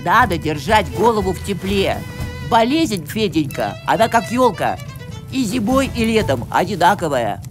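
A woman voicing a bossy, self-pitying, theatrical woman in an animated cartoon, dramatic and imperious in her pronouncements. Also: mid-range voice, moderate pace, music in the background, natural voice.

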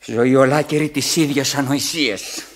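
An actor playing a weary, put-upon servant in a theatrical radio play, terse and sardonic. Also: male voice, medium pitch, steady tone, close to an everyday voice. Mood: resigned.

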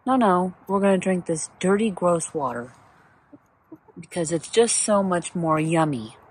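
A woman's voice: expressive, a little slow, a little mellow, husky, rough recording. A woman vlogging with a handheld phone in her backyard chicken run, chatting casually and talking to her hens. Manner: chatty, talks to her hens in a high playful voice. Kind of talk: casual first-person vlog narration. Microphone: handheld phone camera, speaker off screen.

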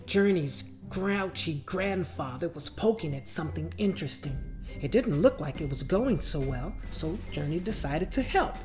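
A man narrates a children's storybook with deliberate, dramatic phrasing. His high, animated voice rises and falls widely over a light musical backing. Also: music in the background. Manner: expressive, deliberate phrasing with drawn-out words. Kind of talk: dramatic children's read-aloud.

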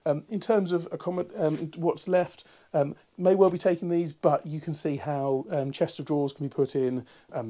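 A man, a bit hoarse from a cold, chatting through a walkthrough tour of a barge. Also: a little high, mellow. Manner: chatty and upbeat, many ums. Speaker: boat broker. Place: inside a barge, small cabin rooms.

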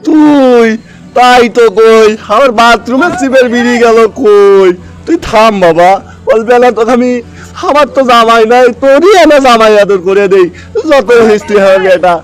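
A man's voice: very high voice, moderate pace, clear, resonant tone, faint music behind. A man voicing a scolding, scheming middle-aged village father in a comic cartoon, grumbling and threatening in a Bogra accent from northern Bangladesh. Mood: menacing. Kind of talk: comic cartoon dubbing in rural dialect.

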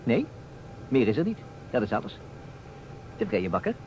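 A man's voice: mid-range voice, wildly swooping pitch, moderate pace. An actor playing a playful, insistent man who stages a detective puzzle in a radio play, with a teasing, emphatic manner. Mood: theatrical. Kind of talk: Dutch radio play acting. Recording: dull, noisy old radio recording.

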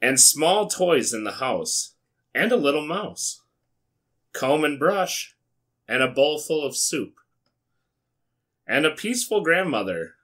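Bright-voiced American man, wry and deadpan. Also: fairly high pitch, slow pace, long pauses. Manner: wry, sweary, deadpan asides. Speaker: YouTube host. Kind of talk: comedic read-aloud with casual asides. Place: small indoor studio with foam panels.

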